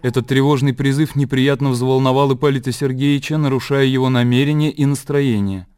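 A man reading scripted literary narration for a radio play in a measured, descriptive storytelling manner. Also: mid-range voice, steady tone, a little mellow. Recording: clear outdoor nature ambience behind.

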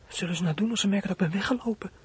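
Husky, high-voiced frightened runaway boy. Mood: anxious, fretting. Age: teens. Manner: anxious, halting thinking aloud. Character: frightened, scheming fourteen-year-old runaway boy. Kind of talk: radio play acting, monologue.

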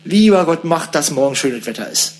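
A man's voice: on the high side, expressive, moderate pace. A man chatting casually and argumentatively, needling the people he talks with. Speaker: radio show participant. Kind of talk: casual bantering conversation.

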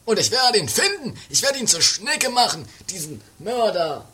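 A man playing an excitable, vengeful train conductor in an improvised comic radio play, emphatic, repetitive and dramatic. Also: high-pitched, moderate pace. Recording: music behind the dialogue.

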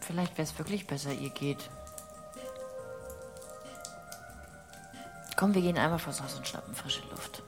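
A flustered, bossy woman in an improvised radio comedy. She has a fairly low voice and rattles off hurried, repeated phrases. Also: music in the background. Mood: uneasy. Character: flustered, bossy woman worried what neighbours think.

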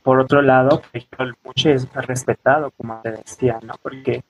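A young Mexican man answers interview questions in a conversational way, with mid-range pitch. He explains step by step and often closes phrases with a little questioning tag. Music plays behind his voice. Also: fairly even intonation, brisk, slightly rough, low-quality recording. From coastal Oaxaca, southern Mexico. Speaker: podcast guest, student and weaver.

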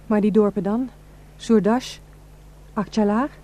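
An actress playing an anxious Kurdish village wife in a radio drama, speaking hesitantly with a husky voice. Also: female voice, medium pitch, steady tone, measured pace, mellow, lightly acted. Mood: afraid. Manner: hesitant, worried.

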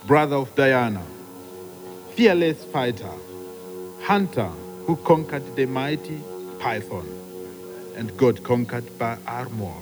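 A man acting in a radio play as a solemn, grandiloquent speaker ceremoniously addressing travellers. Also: medium pitch. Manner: ceremonious, oratorical. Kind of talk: theatrical speech in a radio play. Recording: long hall reverb.

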